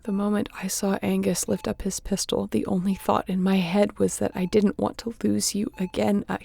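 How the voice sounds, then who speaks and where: A woman acts out a battle-worn female space captain in a sci-fi audio drama. Her voice is soft and mellow, heavily creaky at the edges. She speaks haltingly and earnestly, in a narrow, restrained melody, tender yet guarded. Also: mid-range voice, little pitch movement. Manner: hushed, halting, emotionally earnest. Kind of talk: intimate audio roleplay acting.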